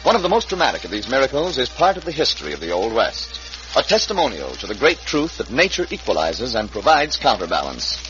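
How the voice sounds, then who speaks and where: A male announcer narrates an old radio western in a declamatory, widely swinging delivery. Loud music plays behind him, and the vintage recording is muffled. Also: medium pitch, huge pitch swings, brisk. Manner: dramatic, declamatory.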